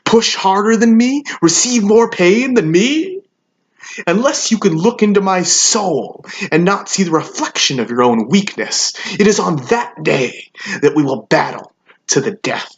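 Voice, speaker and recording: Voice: medium pitch, lively intonation, normal speed, gravelly, muffled recording. An actor playing an obsessed, aggressive fighter who challenges a rival with emphatic, confrontational questions in a dramatic monologue. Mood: fierce, defiant. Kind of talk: intense motivational dramatic monologue.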